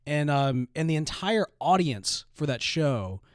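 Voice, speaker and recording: A man co-hosting a podcast, talking in an animated, casual way with lots of fillers and restarts. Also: on the high side, measured pace, no echo, clean studio sound. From Southern US. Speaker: podcast co-host. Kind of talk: casual chat.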